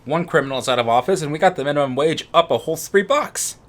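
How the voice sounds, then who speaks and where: A man's voice: fairly high pitch, lively intonation, fast talker. A man from the United States co-hosting a let's play in casual, rambling chat that often restarts its phrases. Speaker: let's play co-host and gamer. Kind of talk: casual gaming chat and commentary.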